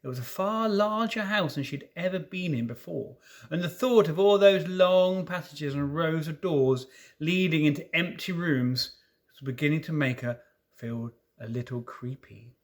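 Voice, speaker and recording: A man reading a children's story aloud in an expressive way, with informal asides to listeners. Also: on the high side, unhurried. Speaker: storybook reader.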